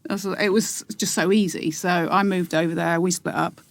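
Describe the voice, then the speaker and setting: Soft-spoken woman, reflective and halting. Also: on the low side, steady tone, creaky. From England. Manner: reflective, hesitant, many fillers and restarts. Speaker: podcast guest. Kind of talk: casual interview.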